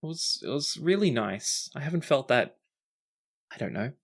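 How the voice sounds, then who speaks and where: A man playing a gentle, easygoing adventurer with an accommodating manner in a tabletop game. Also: medium pitch, very animated, moderate pace, vocal fry, slightly rough, pitched above the performer's own voice, heavily acted. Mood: pleased, curious.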